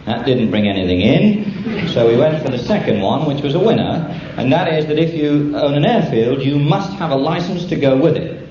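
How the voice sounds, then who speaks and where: A British man giving a humorous after-dinner speech in a deadpan manner, with dry understatement. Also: fairly high pitch, normal speed, hardly any pauses. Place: function room with an audience. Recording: echoey, dull, very noisy live room recording. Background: audience laughter, loud noise.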